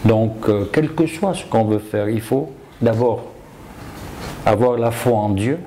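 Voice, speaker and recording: A man's voice: fairly low pitch, unhurried, mellow, a little hiss or hum, poor audio. A man from Côte d'Ivoire, telling his own story emphatically as an interview guest. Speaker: interview guest, self-taught property developer.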